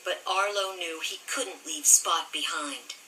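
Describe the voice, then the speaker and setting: A woman narrating a children's story as an expressive, scripted read-aloud. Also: lowish voice, a little slow, a little hiss or hum. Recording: roomy, slightly distant, music behind.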